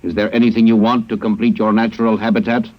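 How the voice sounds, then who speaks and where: A man playing a cold, precise alien conqueror in a 1950s radio drama, speaking in a flat, formal way. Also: fairly low pitch, quick pace, dull audio, lightly acted. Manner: flat, formal, emotionless speech. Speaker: alien captor.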